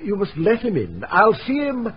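An actor playing a fearful, half-mad laird in a radio drama, in a theatrical, incantatory manner. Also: male voice, high voice, wildly swooping pitch, moderate pace. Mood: commanding. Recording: dull radio recording, faint noise.

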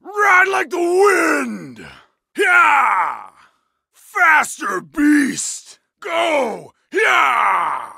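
An actor playing a feral wolf-worshipping berserker warrior in a video game, with a gravelly, raspy voice and snarling, bellowing battle shouts. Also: male voice, extremely high pitch, huge pitch swings, very slow, no echo, clean studio sound, heavily acted. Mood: commanding.

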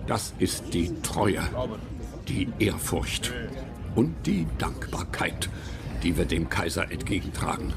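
A loyal imperial courtier in a radio play, formal and reverent. He speaks unhurriedly with a lively melody, music playing clearly behind him. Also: low-pitched, takes it slowly, exaggerated voice. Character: loyal courtier companion of the Kaiser recounting a voyage. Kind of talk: historical radio play, recited memoir.

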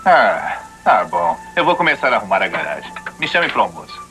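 Gravelly, gruff cartoon dad. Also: male voice, mid-range voice, sing-song, brisk, sharp tone, soft background music, full character voice. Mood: puzzled, grumbling. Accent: Brazilian. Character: puzzled, gruff middle-aged cartoon father. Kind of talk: dubbed cartoon voice acting.

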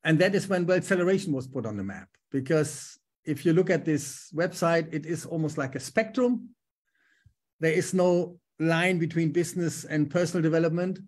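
A middle-aged man with a German accent, a business coach speaking on a video call from home in an upbeat, talkative way. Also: a little high. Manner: upbeat, talkative, frequent ums. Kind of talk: casual interview on a video call. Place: home room with a lamp.

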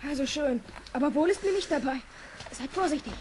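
An actress playing an anxious, excitable child adventurer, earnest and exclamatory, in a children's audio play. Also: female voice, high-pitched, a little fast. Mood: fearful. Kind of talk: 1970s German children's audio play acting. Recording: old audio drama, faint background noise.

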